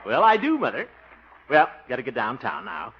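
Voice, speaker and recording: A man's voice: mid-range voice, moderate pace. An actor playing a jovial, self-important, chuckling small-town man in a 1950s radio comedy. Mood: jovial, boastful. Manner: blustery, chuckling, excitable. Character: jovial, self-important grown son, club leader. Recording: muffled, hissy 1950s radio recording.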